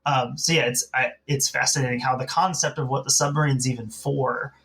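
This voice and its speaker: A man's voice: mid-range voice, fairly even intonation, brisk, creaky, a bit bright. A man in a casual podcast discussion, talking in a loose, discursive way with lots of hedging filler. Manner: hesitant, discursive phrasing with frequent "like". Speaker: podcast co-host.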